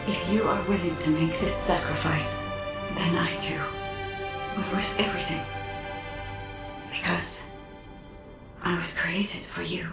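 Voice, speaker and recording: An actress playing a solemn, devoted female guide, a created being in a video game, speaking gravely and deliberately. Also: lowish voice, steady tone. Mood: devoted, hushed. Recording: echoing, distant, over prominent music.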